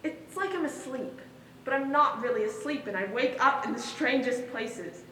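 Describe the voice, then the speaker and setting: An actress plays a troubled woman in a stage play, bewildered and reflective. Her high voice moves through the monologue phrase by measured phrase. It is heard from afar in an echoing hall with slight noise. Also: sharp tone. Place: stage in a large hall, distant mic. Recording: echoey hall from far off, noisy.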